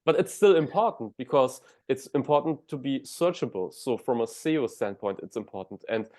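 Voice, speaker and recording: A man's voice: medium pitch, animated, fairly quick, darkish tone. German-accented man explaining step by step. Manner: restarts words often, explains step by step. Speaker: guest. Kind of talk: explanatory interview talk.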